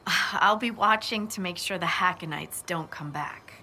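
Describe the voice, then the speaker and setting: A woman's voice: on the low side, measured pace, bright, forceful tone. An actress playing a practical, watchful female scout in a video game, speaking in a matter-of-fact way. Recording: reverberant space, faint ambient noise.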